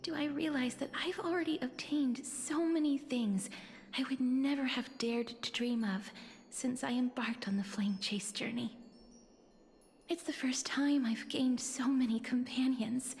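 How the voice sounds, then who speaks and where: Gentle young noblewoman, video game, high soft breathy voice, slow, tender, formal. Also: a little hiss or hum. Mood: wistful, tender. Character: gentle, wistful, soft-spoken young noblewoman, quietly earnest. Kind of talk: video game voice acting, reflective monologue. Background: game music.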